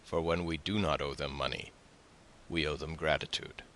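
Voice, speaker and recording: A man's voice: rumbling low, steady tone, moderate pace, fairly bright tone, a little hiss or hum. A man voicing an eager, generous young sailor in an audiobook, speaking earnestly and with animation. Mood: polite, cool.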